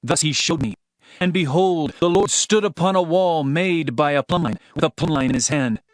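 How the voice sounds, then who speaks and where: A man's voice: a little high, moderate pace, dry recording, close to an everyday voice. A man playing an earnest herdsman prophet, solemn and declamatory, in a dramatized scripture reading. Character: Amos, earnest male herdsman prophet proclaiming judgment. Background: music.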